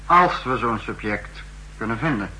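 An actor in a 1960s Dutch radio drama playing a ruthless state leader, curt, measured and commanding. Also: male voice, medium pitch, expressive, pushed and bright, low-quality recording. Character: authoritative, coolly ruthless male state leader chairing a meeting. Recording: old 1960s radio recording, faint hum.

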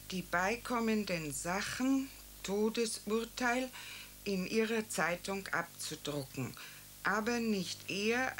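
Slowly reading a formal letter. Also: female voice, medium pitch, a bit bright, a little hiss or hum.

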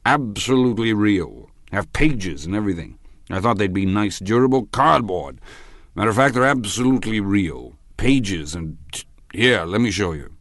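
A man's voice: on the low side, animated, moderate pace, fairly bright tone, no room sound, studio quality, voice pushed up. A man voicing a stout, drunk middle-aged party guest in an audiobook, impetuous and emphatic as he rambles. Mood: excited, insistent. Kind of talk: audiobook character voice.

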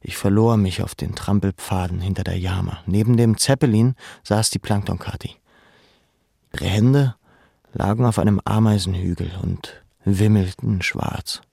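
An actor playing a former camp inmate who narrates a literary memoir in a calm, measured, matter-of-fact way. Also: male voice, on the low side, gravelly, breathy edge, no echo, clean studio sound. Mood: sober, reflective. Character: male former camp inmate, sober, reflective storyteller. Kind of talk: literary first-person narration.

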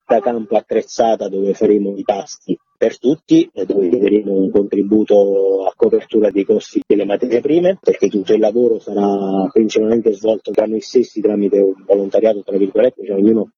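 Dark, low-voiced man, muffled sound. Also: fairly even intonation, brisk, hardly any pauses, slightly rough, no echo. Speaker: interviewed organizer of a farmers' gathering. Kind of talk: informal radio interview answers, explanatory. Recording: dull, muffled lo-fi radio sound.

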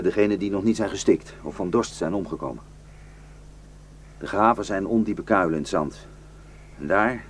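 A man's voice: fairly low pitch, breathy edge. An actor in a radio drama playing a weary, bitter, well-travelled man who grimly warns of atrocities. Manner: grim, cursing, rambling storyteller. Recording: noisy radio play recording.

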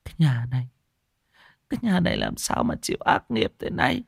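A woman playing an old grandmother in an audio story, lamenting her family in a tearful, drawn-out way. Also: deep voice, huge pitch swings, vocal fry, breathy edge, no echo, clean studio sound, full character voice. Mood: despairing.

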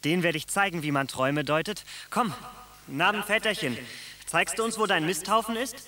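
An actor playing a clever, honest dream interpreter in a radio play who speaks briskly and with indignation. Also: male voice, high-pitched, bright, forceful tone. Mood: scheming. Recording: roomy echo.

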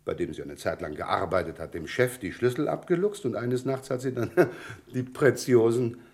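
A man acting a self-assured police inspector in a German radio crime play, brisk and courteous with wry irony. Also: mid-range voice, expressive, gravelly, darkish tone. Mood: courteous, explaining. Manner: brisk, courteous, wryly ironic.

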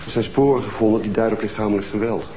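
A man playing a brisk wry young detective. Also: lowish voice, fairly even intonation, dark tone, close to an everyday voice. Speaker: police detective. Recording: TV sound with room echo and background noise.

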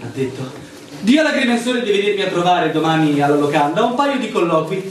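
An eager, excited man in a radio drama, urgent and stagey. His raised voice rings out from a distance in a very echoey room. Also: high-pitched, brisk, a little hiss or hum. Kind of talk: Italian stage-style radio drama acting.

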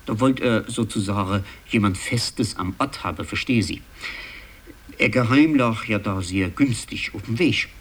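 A husky excitable old-records clerk, rambles and halts, pitch jumps all over, radio play. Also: male voice. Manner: rambling, halting, insistent, digressive. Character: rambling, excitable male land registry clerk and genealogy buff. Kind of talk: conversational radio play acting.

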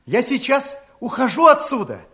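High-voiced, modest young gardener. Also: male voice, huge pitch swings, normal speed, bright, forceful tone, exaggerated voice. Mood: proud, firm. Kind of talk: fairy-tale radio play acting.

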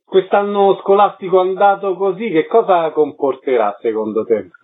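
A man's voice: sits high, normal speed, narrow, tinny audio. A man hosting a radio show, reading introductions and putting questions to his guests. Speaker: radio host and interviewer. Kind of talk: radio interview, scripted introductions.

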